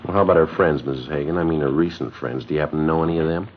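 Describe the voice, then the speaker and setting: An American actor playing a terse, businesslike police sergeant in a 1950s radio drama, clipped and matter-of-fact. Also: male voice, rumbling low, racing, slight background noise. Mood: polite, businesslike. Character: terse, businesslike male police sergeant, narrating his case. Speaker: detective and narrator. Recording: dull vintage 1950s radio broadcast.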